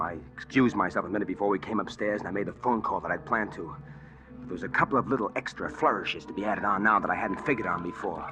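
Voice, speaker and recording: A man's voice: deep voice, close to an everyday voice. An actor playing a brooding, deceived man who poses as a bashful Texan, in old-time radio drama style. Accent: Texan drawl. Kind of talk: old-time radio drama acting with first-person narration. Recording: vintage radio drama with faint music.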